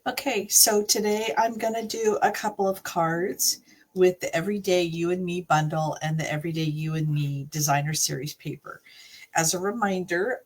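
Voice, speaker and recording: Woman craft host, a bit under the weather from a cold, unhurried and slightly low, faint hiss behind. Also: rough recording. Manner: friendly, chatty, with frequent coughs and throat-clearing. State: recovering from a cold, voice a little off. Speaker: card-making channel host. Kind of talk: casual craft tutorial.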